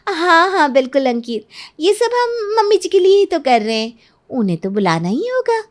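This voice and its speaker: A woman's voice: high-pitched, very animated, normal speed. A woman playing a self-centred, cunning young newlywed wife in a Hindi cartoon story, pert and argumentative. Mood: sweetly false.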